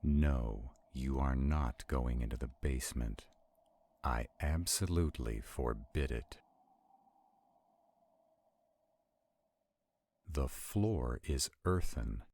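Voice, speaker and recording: A man's voice: extremely low pitch, slow, darkish tone, slightly rough, heavily acted. A man playing an arrogant, skeptical and protective vampire in a roleplay audio drama, haughty and commanding. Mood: stern, dismissive. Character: arrogant, skeptical, protective male vampire, irritable under strain.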